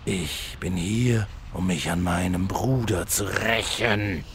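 A man's voice: deep voice, slow, gravelly, fairly bright tone, slight background noise, full character voice. An actor playing a menacing, vengeful male demon hunter in a parody fantasy audio drama, solemn and disdainful. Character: menacing male demon hunter seeking revenge on his brother.

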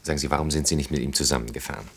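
An actor playing a reserved, awkward middle-aged department head in a naturalistic radio play, halting and dryly formal. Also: male voice, rumbling low, steady tone, breathy edge, full character voice. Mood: reserved, defensive. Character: reserved, awkward male department head courting a young woman.

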